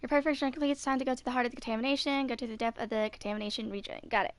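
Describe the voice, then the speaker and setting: A woman's voice: fairly high pitch, steady tone, racing, soft background music. A woman in her twenties casually commenting on her game and reading quest text aloud. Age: 20s. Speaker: gamer commenting on her play.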